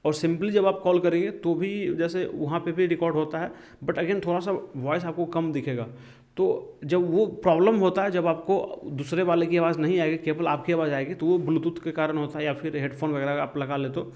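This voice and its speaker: A man's voice: fairly high pitch, fairly even intonation, moderate pace, a little mellow. A man from India giving a casual step-by-step tutorial in a conversational, explanatory way, often checking in with the listener. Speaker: tech tutorial video host.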